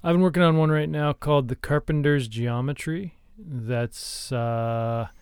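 Clear-voiced man hosting a podcast, close and dry. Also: a little high, a little slow, no room sound. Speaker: podcast co-host. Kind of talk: casual podcast talk about screenwriting.

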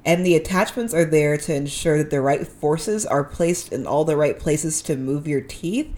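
A woman's voice: sits low, normal speed, warm tone. A woman hosting a podcast in a casual, slangy, conversational monologue, speaking with a slight lisp. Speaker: podcast host.

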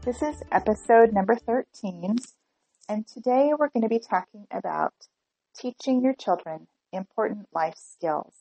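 A woman's voice: medium pitch, animated, a little slow, mellow, slightly rough, dry recording, soft background music, rough recording. A middle-aged woman hosting her own podcast, talking earnestly and chattily while getting over a cold, with small restarts and ums. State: has a cold. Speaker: podcast host. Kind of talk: solo podcast talk.

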